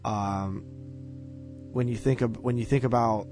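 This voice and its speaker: A man co-hosting a movie podcast, giving his opinions on films in a casual, halting way. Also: mid-range voice, fairly even intonation, a little mellow, faint music behind, low-quality recording. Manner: halting, filler-heavy opinions. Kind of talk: casual film discussion.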